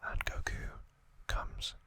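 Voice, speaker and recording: A man hosting ASMR, giving a hushed, slow recap in short phrases in a breathy, unvoiced whisper. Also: dry recording. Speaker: ASMR host.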